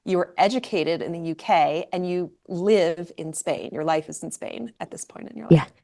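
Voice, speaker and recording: A woman hosting a podcast, introducing her guest in quick, gushing run-on phrasing. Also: on the low side, mellow. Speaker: podcast host. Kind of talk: warm interview intro and questions.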